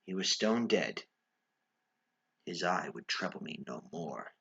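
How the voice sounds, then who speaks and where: A man playing an unhinged, cunning murderer in a gothic horror monologue, with a theatrical, nervous, halting delivery. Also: low voice, big pitch swings, creaky, a bit bright, dull audio, pitched below the performer's own voice, exaggerated voice. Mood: cold, secretive. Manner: theatrical, swooping, halting with stammered repeats. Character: unhinged murderer confessing, cunning, nervous, increasingly frantic madman. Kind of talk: dramatic horror storytelling, classic gothic monologue.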